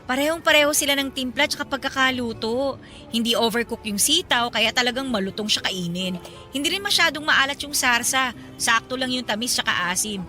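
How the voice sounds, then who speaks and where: Radio drama role: young provincial woman, sensible and guarded, high-pitched, crisp bright tone, music underneath. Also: fairly quick. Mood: fond, light. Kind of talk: Tagalog radio drama acting. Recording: music behind the voice.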